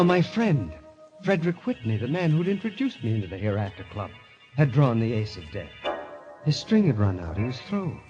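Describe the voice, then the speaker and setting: An actor playing a haunted club member who tells his own story with measured, dramatic dread in a golden age radio mystery. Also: medium pitch. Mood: grim. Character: haunted club member narrating his obsession, tense, confessional. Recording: dull old radio recording with music.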